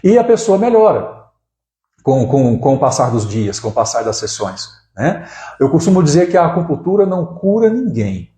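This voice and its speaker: A man's voice: on the high side, big pitch swings, moderate pace, dark tone, reverberant. A man in his forties from Brazil, an acupuncturist giving a casual live talk, often restarting words and tagging sentences with "né?". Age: 40s. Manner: conversational, frequent "né?" tags and restarts. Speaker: acupuncturist hosting a live stream.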